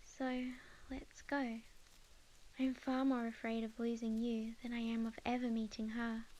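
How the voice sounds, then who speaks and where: A young witch in love, played by a woman with an Australian accent. Her voice is fairly high and softly intimate, in the manner of an ASMR romance roleplay. She speaks earnestly and tenderly, with hesitations and phrases that sink toward a whisper. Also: little pitch movement, unhurried, close to an everyday voice, low-quality recording. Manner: soft, hesitant, sometimes dropping to a whisper. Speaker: ASMR audio roleplay performer.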